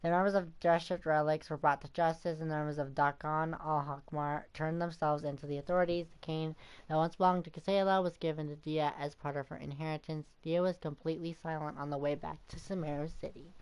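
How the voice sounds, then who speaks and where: A woman's voice: low voice, fairly even intonation, a little fast, clear, resonant tone, no echo, poor audio. A woman streaming a game with casual, chatty commentary and playful asides. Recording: loud game music behind.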